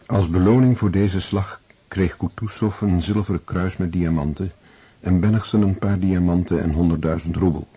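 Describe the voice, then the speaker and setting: A man's voice: low-pitched, mellow, slightly rough. A man reading scripted narration as the narrator of a historical radio drama.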